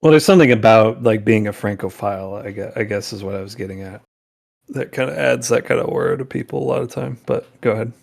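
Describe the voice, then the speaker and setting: A man's voice: on the low side, quick pace, vocal fry. A man in casual chat on a stream panel, offhand and joking in manner.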